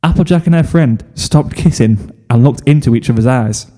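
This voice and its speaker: A man's voice: mid-range voice, moderate pace, dark tone. A man reading a story aloud as a scripted narration, in the role of fan fiction narrator. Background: music.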